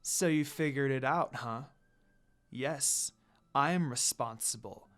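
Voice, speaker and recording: A man's voice: medium pitch, takes it slowly, a bit bright. A man voicing a scornful undead young priestess in an anime fan story, with a sneering, contemptuous manner. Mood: smug.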